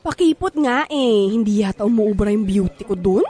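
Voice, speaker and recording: A woman's voice: mid-range voice, animated, normal speed, mellow, natural voice. An actress with a Filipino accent playing a pushy, flirty woman in her thirties in a radio comedy drama, coaxing and playful. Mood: playful, resigned.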